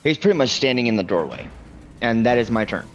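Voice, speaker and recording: A man's voice: mid-range voice, sing-song, fast, muffled recording. A man talking casually as a player in an online tabletop game.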